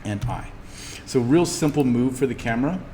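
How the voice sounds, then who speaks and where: A man giving a casual, easygoing software tutorial as an instructor, thinking aloud as he explains each step. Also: medium pitch, expressive, normal speed. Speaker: instructor teaching a 3D animation class. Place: indoors, echoing classroom. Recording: reverberant room with background noise.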